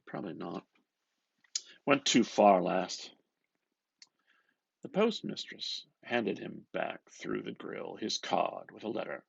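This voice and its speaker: A man gives an expressive, theatrical reading of a literary novel, moving between murmured asides and louder phrases. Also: on the low side, takes it slowly, gravelly, pauses often, slightly rough, dry recording, lo-fi sound. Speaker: reader of a novel.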